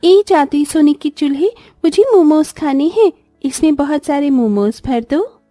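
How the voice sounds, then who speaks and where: A woman voicing a poor, good-hearted young daughter-in-law, earnest and expressive, in a cartoon story. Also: high-pitched, measured pace, no echo, studio quality, faint music behind. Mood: eager.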